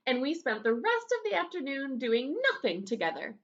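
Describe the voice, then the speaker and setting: A dull home recording of a storybook read-aloud. A woman plays an earnest young schoolboy telling his own tale with drama and expression. The voice is fairly high with some creak. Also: very animated, normal speed. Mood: excited.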